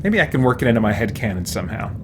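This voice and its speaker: A man's voice: lowish voice, very animated, very fast, noisy background. A man hosting a gaming stream with casual, rambling, explanatory let's-play commentary. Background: game music.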